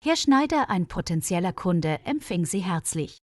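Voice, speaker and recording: A woman's voice: on the low side, sing-song, normal speed, no room sound, studio quality. A woman reading a scripted story for language learners as its narrator. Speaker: narrator of stories for German learners.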